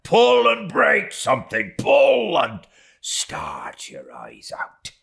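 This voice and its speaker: A man's voice: a little high, wildly swooping pitch, takes it slowly, gravelly, fairly bright tone, slight background noise, voice pushed up, full character voice. A man voicing a jolly, mock-furious whaling boat officer for an audiobook, swinging between roars and coaxing as he exhorts his crew. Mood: mock fury. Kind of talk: audiobook character acting.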